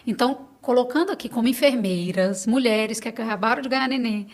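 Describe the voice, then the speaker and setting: Casting: Brazilian woman, late 30s, fairly high voice, interview guest giving emphatic, reassuring advice. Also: fairly quick. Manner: emphatic, reassuring, advising listeners directly. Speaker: podcast guest; clinic owner advising on supplements. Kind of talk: conversational interview.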